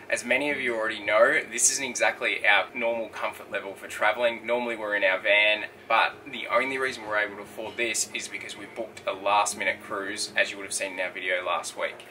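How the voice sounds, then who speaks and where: A man's voice: lowish voice, brisk, few pauses, bright, forceful tone. A man in his thirties casually chatting and joking while filming a travel vlog on a camera. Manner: joking, self-mocking banter. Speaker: travel vlogger. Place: outdoors on an island and in a ship cabin. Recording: noisy outdoor camera audio.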